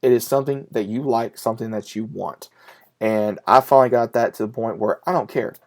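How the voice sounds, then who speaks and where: A man in his late twenties chatting casually and unscripted to his viewers, speaking earnestly and emphatically, with repeated phrasing and frequent pauses. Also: mid-range voice, darkish tone. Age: late 20s. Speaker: YouTube vlogger and collector.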